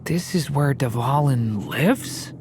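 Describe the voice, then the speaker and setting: A woman voicing a gentle young dragon in boy form for a video game, soft-spoken, earnest and thoughtful. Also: very deep voice, expressive, takes it slowly, noisy background, full character voice. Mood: earnest, curious.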